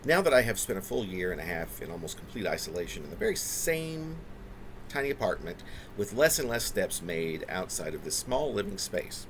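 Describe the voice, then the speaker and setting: A man reading his own reflective, autobiographical poetry in halting, deliberate phrases that wind through long, wordy sentences. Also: low voice, very animated. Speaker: poet reading own work.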